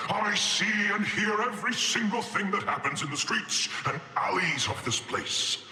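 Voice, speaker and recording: An actor playing a boastful, theatrical talking statue that spreads town news in a grandiose game-character style. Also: male voice, high voice, expressive, normal speed, pushed and bright, heavily acted. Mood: grand, welcoming. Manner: grandiose, showy, proclaiming. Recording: long reverb, music behind. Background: music.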